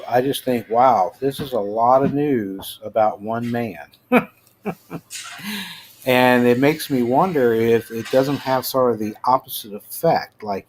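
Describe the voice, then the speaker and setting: A man's voice: medium pitch, darkish tone. American man rambling over noticeable background noise. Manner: informal, halting, trails off mid-thought. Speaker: host of a personal rant show. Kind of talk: casual rambling news commentary. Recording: clearly noisy amateur home recording.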